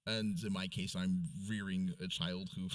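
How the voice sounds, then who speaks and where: A middle-aged American man interviewing a guest on stage, easing into his questions in a halting, roundabout way. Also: deep voice, level tone. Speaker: interviewer, reporter. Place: on stage before an event audience.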